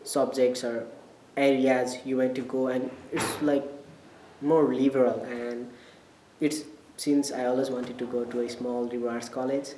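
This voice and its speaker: A man's voice: mid-range voice, flat delivery, unhurried, mellow. A young man with a Nepali accent gives casual interview answers as a college student, hesitating and searching for words. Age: young adult. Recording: echoey room with background noise.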